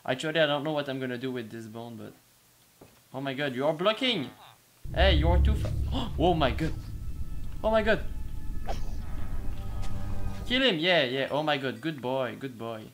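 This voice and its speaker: Excitable young non-native gamer guy. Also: medium pitch, normal speed, long pauses, soft background music, rough recording. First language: not English. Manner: excitable, hesitant, frequent exclamations. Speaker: gaming channel host. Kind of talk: casual gameplay commentary.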